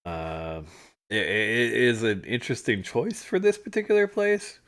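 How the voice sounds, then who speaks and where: A man co-hosting a livestream, chatting casually through stories and photos from a trip, animated when he retells moments. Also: medium pitch, unhurried. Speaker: livestream co-host. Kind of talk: casual storytelling chat over travel photos.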